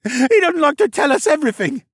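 A man's voice: very high-pitched, lively intonation, fairly quick, fairly bright tone, no echo, studio quality, voice pushed up, full character voice. A man voicing a sniveling, cowardly captured soldier who whines and pleads in a panicky way, in an audiobook story. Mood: nervous insistence. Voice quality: whining.

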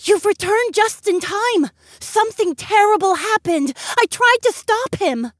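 A terrified young fox girl from a storybook, her voice pitched very high and trembling with tears and breathlessness. She pleads in a dry, close recording, with a man acting her out. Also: expressive, a little slow, fairly bright tone, no room sound, exaggerated voice. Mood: distressed.

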